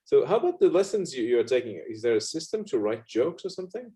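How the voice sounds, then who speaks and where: A man from France hosting a casual video-call chat, speaking haltingly with stumbles and quick agreeing replies. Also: mid-range voice, very animated, warm tone. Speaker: podcast host, comedy teacher.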